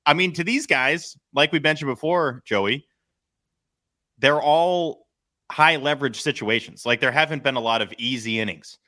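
A man's voice: on the high side, normal speed, sharp tone. A man hosting a sports podcast, chatting casually with a co-host.

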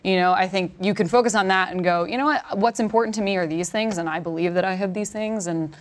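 A woman with a deep voice, a podcast guest giving earnest advice in casual chat. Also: fast.

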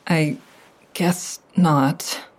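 Slow, hesitant, low-voiced anxious woman. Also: steady tone, full character voice. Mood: hesitant, uneasy. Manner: hesitant, halting. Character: anxious, hesitant woman reporting a stalking ex-boyfriend. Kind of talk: audio drama acting, naturalistic phone dialogue.

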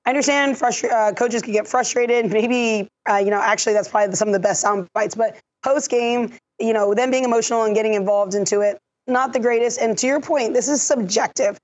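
Woman analyst, voice gone husky. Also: a little high, darkish tone. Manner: measured, explanatory, technical. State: lost her voice. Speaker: refereeing analyst. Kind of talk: podcast discussion.

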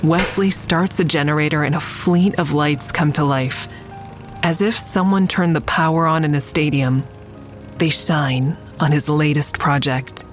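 An actress playing a curious young woman who narrates her story in an intimate, wry way in an audio drama. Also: low voice. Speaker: narrator and lead character. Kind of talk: audio drama first-person narration and natural dialogue. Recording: faint music behind the voice.

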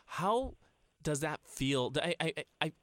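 A man's voice: on the high side, expressive, measured pace, no echo. Man, eager co-host, stumbly restarts, dry studio sound. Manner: enthusiastic with stammering restarts. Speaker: podcast co-host. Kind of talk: interview hosting.

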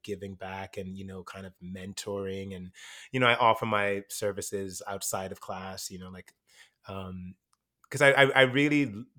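A young man born in Jamaica speaks in a fairly low register. He talks casually and reflectively in an interview, circling back with fillers and restarts as he thinks. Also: bright, forceful tone. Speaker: actor and teacher.

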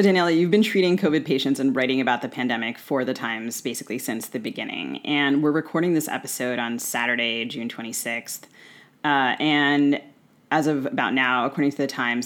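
Very low-voiced, quick American woman. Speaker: podcast co-host. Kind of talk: conversational interview hosting.

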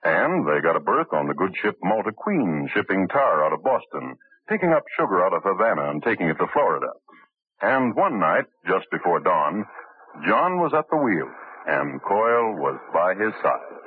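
A man's voice: deep voice, big pitch swings, normal speed, breathy edge, no echo, full character voice. A man narrating a crime story with a wry, storytelling manner in an old radio drama. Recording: tinny old radio broadcast, faint hiss.

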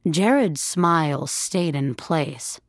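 A woman narrating scripted suspense fiction in a measured, dramatic storytelling manner. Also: deep voice, lively intonation, no echo, clean studio sound, exaggerated voice.

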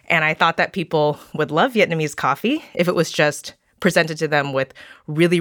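A woman from California pitching her startup to investors, upbeat and earnest, with the odd "um". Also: fairly bright tone, no room sound, studio quality. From California, United States. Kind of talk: business pitch.

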